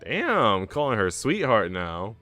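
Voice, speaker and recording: Low-voiced young man reading aloud. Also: huge pitch swings, takes it slowly, pushed and bright, faint music behind. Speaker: streamer reading a visual novel aloud. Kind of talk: narration with casual slangy reactions.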